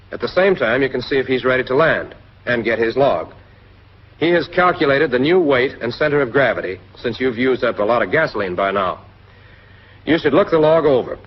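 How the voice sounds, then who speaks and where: An American man delivers 1940s instructional military narration in a direct, instructive manner. His voice is quite husky and rough-edged, at an ordinary male pitch. The sound is a dull, lo-fi vintage film soundtrack. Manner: instructive, direct second-person address.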